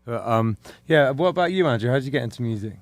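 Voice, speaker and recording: A man hosting a music podcast, asking questions in an easygoing, halting way with lots of fillers. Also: mid-range voice, animated, no echo. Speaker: podcast host. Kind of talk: casual interview.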